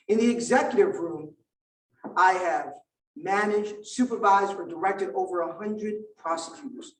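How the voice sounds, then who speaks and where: A middle-aged man from Vietnam, a native Vietnamese speaker, giving an emphatic campaign speech at a forum, building rhetorical lists with measured pauses. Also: sits high. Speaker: political candidate at a forum. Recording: roomy hall, distant mic.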